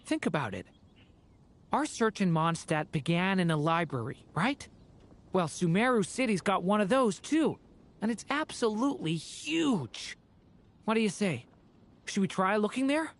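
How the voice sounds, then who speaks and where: An actor playing a gentle, earnest and hesitant young male character in a video game. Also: medium pitch, sing-song, measured pace. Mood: thoughtful, hopeful. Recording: music behind the voice.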